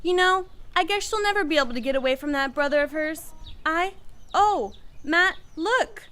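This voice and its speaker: A woman's voice: very high-pitched, expressive, moderate pace, fairly bright tone. A woman acting a folksy, conversational frontier townswoman in an old-time radio Western. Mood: gossipy, concerned.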